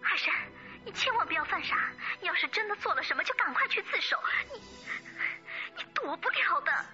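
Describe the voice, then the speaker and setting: An anxious young woman in a radio drama, her voice high and bright with a raspy edge. She is guarded yet earnest in love. The sound is slightly dull, with music behind. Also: very animated, brisk, full character voice. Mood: pleading, urgent. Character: young female office worker, anxious, guarded, pleading lover.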